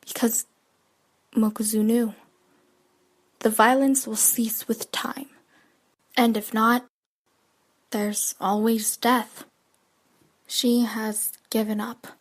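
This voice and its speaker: A woman's voice: on the high side, flat delivery, slow pace, long pauses. A woman playing a troubled, pensive schoolgirl narrator in an audio drama. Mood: subdued, brooding. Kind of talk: audio drama acting with inner monologue.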